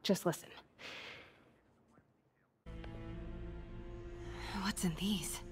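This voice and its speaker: A woman's voice: on the low side, moderate pace, close to an everyday voice. Actress as confident young woman, music behind. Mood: urgent excitement. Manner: conversational, confident, playful. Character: bold, quick-witted, teasing young woman investigator. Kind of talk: naturalistic video game cutscene acting.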